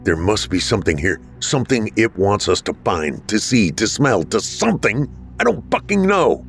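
A man's voice: lowish voice, lively intonation, moderate pace, soft background music. A man voicing a frustrated, caring dead man, now a ghost, in a story. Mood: frantic.

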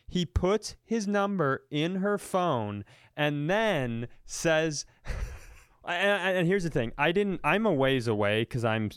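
A man with a smooth, resonant voice recorded very dry tells casual comic stories as a podcast host. He is animated and profane, leaning into exaggerated disbelief as he banters. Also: fairly high pitch, no room sound. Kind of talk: casual comedic storytelling banter.

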